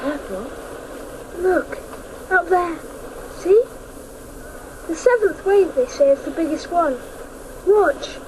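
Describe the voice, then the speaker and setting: A woman playing an earnest, defiant child in a radio drama, with intense, deliberate delivery. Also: extremely high pitch, expressive, noisy background, exaggerated voice. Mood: excited.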